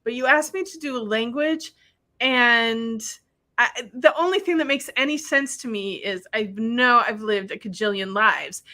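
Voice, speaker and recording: A woman from Wisconsin, a guest telling stories in a lively, animated way. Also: on the high side, normal speed. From Wisconsin, USA. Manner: animated storyteller who acts out other people's lines. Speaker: guest, spiritual practitioner. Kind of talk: casual conversation.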